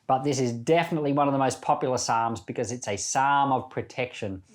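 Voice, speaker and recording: A male pastor speaks in a middle-pitched voice on a Bible podcast. He reads scripture and talks through it in a conversational, reflective way, like informal devotional teaching.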